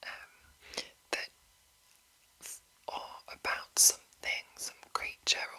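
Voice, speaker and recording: A woman hosting an ASMR reading, whispering in a hushed, deliberate way and lingering over short phrases. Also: rough recording. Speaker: ASMR host. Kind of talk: whispered ASMR reading of a game manual with casual commentary.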